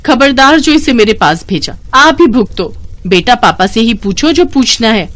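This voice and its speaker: A woman's voice: a little high, sing-song, moderate pace, fairly bright tone. A woman voicing an exasperated, scolding young mother in a moral story. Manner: scolding, harried.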